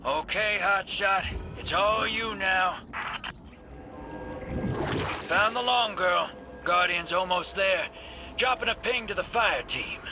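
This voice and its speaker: An actor playing a sly, folksy rogue in a video game, with a drawling, wisecracking delivery. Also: male voice, high voice, slow, pauses often, background noise. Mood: wry, casual. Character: folksy, sly male rogue guiding a hero. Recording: game dialogue under loud music.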